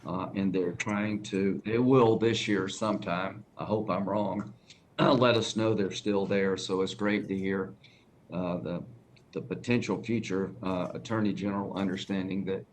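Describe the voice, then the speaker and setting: A man's voice: deep voice, steady tone, a little slow, slight background noise. A man with a Southern accent, a senator pressing a nominee with folksy, direct questions at a hearing.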